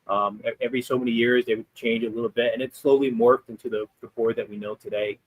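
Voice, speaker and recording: A man appears as a guest expert on a video call, explaining history in a loose, conversational way and often pausing on ums and restarting his sentences. His pitch is mid-range. The sound is dry and close. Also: steady tone, husky, dry recording, rough recording. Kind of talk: explaining history in casual chat.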